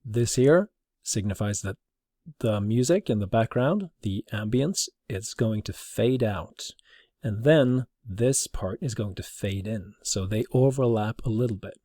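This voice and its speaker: A man with a low voice teaches through an informal demonstration. He explains in a halting, hesitant way, and the recording is dry and free of echo. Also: warm tone. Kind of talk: informal screen demonstration for a class.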